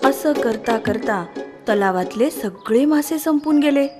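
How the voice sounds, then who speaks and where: A woman narrator reads a children's tale. Her voice is a little high, and loud music plays beneath it. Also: lively intonation, unhurried. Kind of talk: scripted children's story narration.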